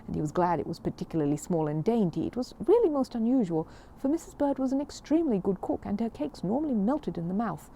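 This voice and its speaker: A woman reading a children's story aloud in an expressive, animated storytelling manner. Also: medium pitch, fast, vocal fry, few pauses, slight background noise.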